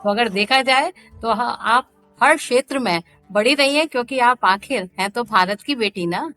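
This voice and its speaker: A woman's voice: on the high side, normal speed, sharp tone, soft background music. A woman co-hosting an interview podcast, speaking in flowery, admiring phrases. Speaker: podcast co-host.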